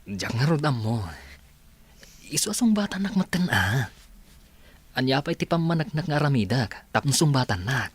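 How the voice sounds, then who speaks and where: An actor playing a persistent, earnest young suitor in an Ilocano radio drama, insistent and emotive, with an Ilocano accent. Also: male voice, medium pitch, big pitch swings, normal speed, pauses often, breathy edge. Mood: ardent, pleading.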